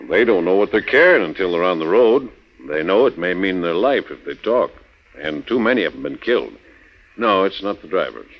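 An actor playing a genial, seasoned older sheriff in a 1940s radio drama, plain-spoken and American. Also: male voice, deep voice, very animated, a little fast, vocal fry, a bit bright, a little hiss or hum, exaggerated voice, rough recording. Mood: concerned, earnest.

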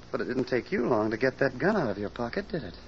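A man's voice: mid-range voice, very animated, brisk. A man playing a shrewd, hard-nosed sleuth, clipped and commanding, in mid-century radio drama style. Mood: accusing, sardonic. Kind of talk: 1940s-50s radio detective drama acting. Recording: noisy, muffled vintage radio recording.

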